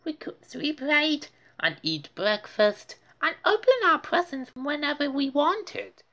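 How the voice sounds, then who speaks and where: A woman's voice: high voice, animated, slow, fairly bright tone, pitched above the performer's own voice, full character voice. A woman voicing an earnest young boy rabbit from a storybook, pleading his case. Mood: hopeful.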